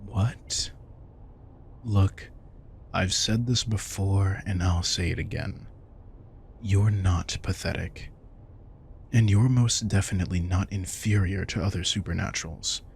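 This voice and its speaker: A man playing a protective, wry and tender vampire king in an intimate audio roleplay, soft-spoken and casual. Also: low-pitched, measured pace, gravelly, long pauses, slight background noise. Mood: reassuring, tender. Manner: soft-spoken, casual, reassuring, occasionally swearing. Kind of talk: intimate audio roleplay, one-sided dialogue.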